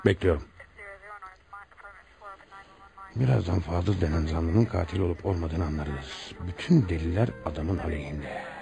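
A man's voice: low voice, moderate pace, gravelly, over music. A man acting a stern, insistent homicide police commissioner in a radio drama, with a commanding, pressing manner. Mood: brooding, businesslike.

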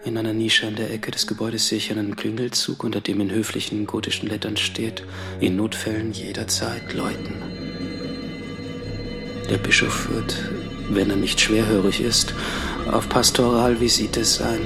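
An actor playing a solitary, reflective last man on earth in a radio drama, brooding and measured, with music behind him. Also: fairly low pitch, level tone, gravelly. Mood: dry, wondering. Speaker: actor narrating a radio play. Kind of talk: literary radio drama monologue.

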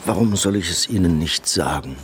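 A worldly, persuasive painter in a radio drama, pitched on the low side. He is coolly matter-of-fact and insinuating as he deals. An ambient noise bed runs behind the voice. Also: expressive, moderate pace. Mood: confiding, matter-of-fact. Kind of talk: German radio drama acting.

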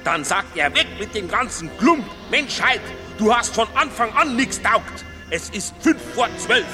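An actor playing a fiery, scolding Bavarian village priest who preaches doom in a radio drama. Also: male voice, high-pitched, expressive, a little slow. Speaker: pastor. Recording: music behind the voice.

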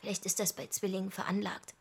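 An actress playing a brooding, defiant daughter who pines for her twin brother, in intimate, husky radio drama acting. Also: mid-range voice, flat delivery, quick pace. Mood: defiant, wistful. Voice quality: husky, breathy.

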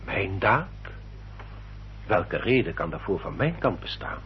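An actor playing a courteous, guarded gentleman suitor in a radio drama, with polite and stiffly formal speech. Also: deep voice, sing-song, measured pace. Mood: taken aback. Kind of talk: Dutch radio drama acting. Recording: dull, noisy old radio recording with hum.

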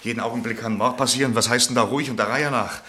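A man's voice: mid-range voice, fairly even intonation, quick pace, pushed and bright, natural voice. An actor playing an agitated, insistent young man who stammers and repeats himself urgently in a German radio play. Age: young adult.

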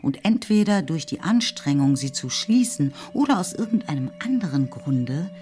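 A woman's voice: low voice, sing-song, normal speed, warm tone, faint music behind. A woman reading scripted literary narration for a radio drama.

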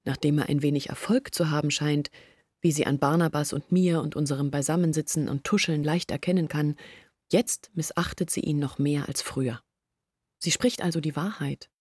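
An actress playing an anxious young village woman who earnestly pleads her family's case in a radio play, intimate and deliberate. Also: sits low, fairly even intonation, a little mellow, slightly rough, no echo, clean studio sound. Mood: earnest, confiding. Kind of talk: literary radio play monologue.

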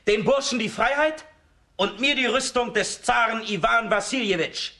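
An actor playing a fearless, strong young folk-tale hero in a children's radio play, hearty and resolute. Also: male voice, extremely high pitch, normal speed, bright, forceful tone, exaggerated voice. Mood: defiant.

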